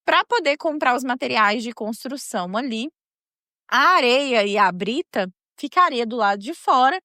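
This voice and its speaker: A woman with a strong Mineiro accent tells a real-life story on her podcast, chatty and quick to laugh. Her voice sits a little high, and the recording is dry and close. She talks straight to her listeners as if they were friends. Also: bright, forceful tone, no room sound. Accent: Mineiro (Minas Gerais). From Minas Gerais, Brazil. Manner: chatty, laughs often, addresses listeners directly. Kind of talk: casual storytelling narration.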